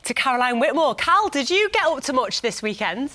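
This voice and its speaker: A woman news anchor in the studio, bright and crisp, high in pitch, friendly as she hands over to a reporter. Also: very animated, a little fast.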